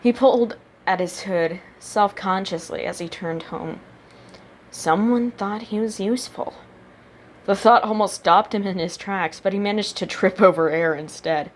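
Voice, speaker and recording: Expressive woman narrating a story. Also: medium pitch, measured pace, a little hiss or hum. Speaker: amateur audiobook narrator reading fan fiction.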